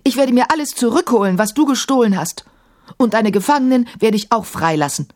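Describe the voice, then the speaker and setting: Woman as a defiant, high-pitched young boy. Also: animated, a little fast, darkish tone, lightly acted. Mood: defiant shouting. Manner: urgent, defiant.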